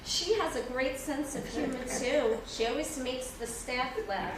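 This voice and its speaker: A woman playing a jolly, teasing food service worker in a staged play reading, rambling haltingly with chuckles. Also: sits high. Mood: chatty, teasing. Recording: echoey hall, distant mic, background noise.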